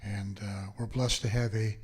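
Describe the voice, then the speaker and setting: A man who belongs to the temple introduces the guest speaker haltingly, with many fillers. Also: on the low side, flat delivery, husky. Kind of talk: informal introduction.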